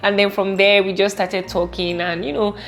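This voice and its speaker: A woman in her late twenties with a Nigerian accent, chatting casually and playfully as a vlogger answering viewer questions, animated and exclamatory. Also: mid-range voice, moderate pace. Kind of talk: casual Q&A vlog chat.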